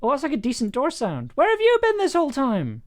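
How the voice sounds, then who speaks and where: A woman thinking aloud in casual livestream commentary as she works, sometimes muttering, sometimes playfully animated. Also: high-pitched, a little fast. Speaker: streamer editing an audio drama. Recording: keyboard clicks and typing noise. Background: computer typing and mouse clicks.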